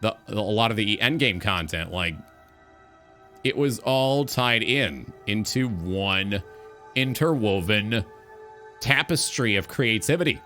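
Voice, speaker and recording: A man hosting a talk show, giving opinionated commentary on gaming in an emphatic, deliberate way with ornate wording. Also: on the low side, animated, bright, forceful tone, soft background music.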